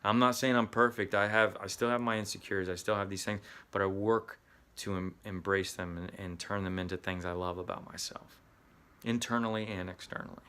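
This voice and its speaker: A middle-aged man with a Southern US accent speaks earnestly to camera, coaching actors. His voice sits a little low, and he often repeats and restarts words as he goes. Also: steady tone, a little fast, pushed and bright, clean tone. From US Midwest. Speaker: acting coach. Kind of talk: motivational talk to camera.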